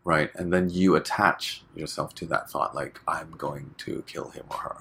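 A man from Hong Kong, a guest chatting casually in an interview, relaxed and full of fillers, laughing often. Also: very deep voice, moderate pace, vocal fry, husky.

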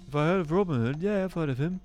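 A man's voice: on the high side, very fast, darkish tone, faint music behind, voice pushed up, lightly acted. A man improvising an agreeable, puzzled medieval townsman, speaking in an earnest, politely inquisitive way. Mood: matter-of-fact.

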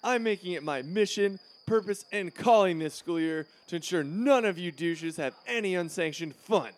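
A man's voice: sits high, moderate pace, lightly acted. A man playing a pampered, bratty male student in a comedy series, petulant and full of bluster. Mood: indignant.

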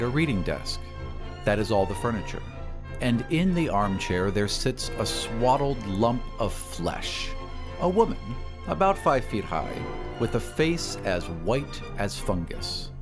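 A man hosting and narrating a story podcast, with deliberate, theatrical phrasing in scripted narration. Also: fairly low pitch, very animated, music in the background.

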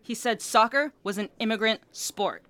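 A woman's voice: fairly high pitch, normal speed, fairly bright tone, lightly acted. A woman playing a feisty, stubborn young soccer supporter in an audio drama, blunt and combative. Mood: earnest, resentful.

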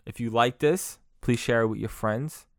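A young man rants alone into his podcast mic, cursing, mocking, and tripping over his own restarts. His voice sounds smooth and clear, and the recording is close and dry, with no room sound. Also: mid-range voice, moderate pace, dry recording. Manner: profane, mocking, rambling with many restarts. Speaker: podcast host. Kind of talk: solo comedic rant.

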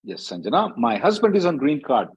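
Male advice-line host, husky and very lively in intonation, blunt, informal, repetitive for emphasis. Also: medium pitch, fairly quick, highs cut off. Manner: blunt, emphatic, repeats himself. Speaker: immigration attorney hosting a call-in. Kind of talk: informal question-and-answer advice, reading out questions.

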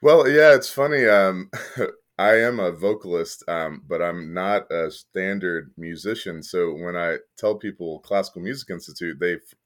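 A deep-voiced man from Kentucky, a podcast guest who leads an arts organization, talking thoughtfully with plenty of fillers and restarts. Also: measured pace. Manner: thoughtful, many fillers and restarts, occasional laughs. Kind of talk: casual interview conversation.

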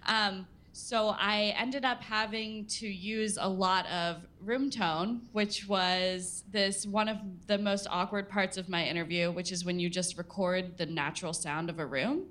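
A woman's voice: medium pitch, steady tone, measured pace, few pauses, fairly bright tone. A woman reporter answering audience questions at a live listening event, self-deprecating and hesitant with frequent fillers. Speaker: workshop student reporter. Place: listening party in an event space.

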